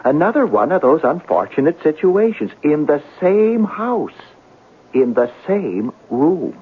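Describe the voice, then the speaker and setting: Very animated folksy police sergeant. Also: male voice, on the high side, measured pace, husky, full character voice. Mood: grave. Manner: hesitant, respectful, folksy grammar. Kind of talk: old-time radio drama acting. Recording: muffled vintage radio broadcast.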